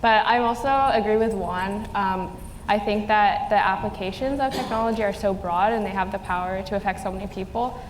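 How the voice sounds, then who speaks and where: A woman's voice: mid-range voice, steady tone, brisk. A young woman from New Mexico, a student panelist, answering in an articulate, composed way. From New Mexico, USA. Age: young adult. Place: event stage in a large hall. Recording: roomy hall with faint noise.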